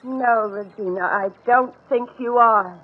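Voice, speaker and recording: An actress playing an earnest, distraught but resolute wife in dramatic old-time radio horror style. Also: female voice, a little high, unhurried. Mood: grave, pleading. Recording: tinny, noisy old radio recording.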